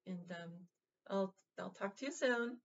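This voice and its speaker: Gentle, halting woman vlogger, lo-fi sound. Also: medium pitch, a little hiss or hum. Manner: halting, full of fillers and restarts, gentle and reassuring. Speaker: vlog host. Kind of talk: casual heartfelt address to viewers.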